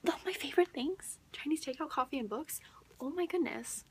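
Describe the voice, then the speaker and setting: A young woman chatting casually as a book vlogger, excitable and gushing, with dramatic emphasis and whispery asides. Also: high voice, a little fast, noisy background.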